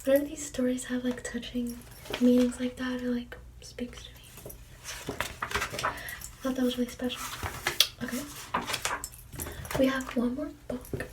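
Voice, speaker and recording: A woman reading children's storybooks in a soft, breathy whisper, gently commenting on the pictures between pages. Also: on the high side, flat delivery, unhurried, long pauses, recorded from a distance, very noisy. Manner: gentle, with quiet asides on the pictures. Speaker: storybook reader.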